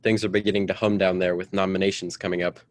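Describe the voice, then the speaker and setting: A man playing a stuffy, domineering politician husband in a radio melodrama, with a brisk, formal, patronizing manner. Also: sits low, level tone, close to an everyday voice. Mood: brisk, self-important. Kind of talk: old-time radio drama acting.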